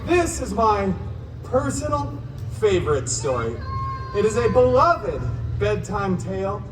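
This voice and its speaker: An actor playing an irreverent, wisecracking masked antihero who tells a children's story live with theatrical delivery and sarcastic asides. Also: male voice, high-pitched, big pitch swings, a little slow, noisy background. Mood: cheeky, sarcastic. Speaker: costumed theme park character storyteller. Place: outdoor theme park courtyard. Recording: distant outdoor sound, echo, loud music, crowd.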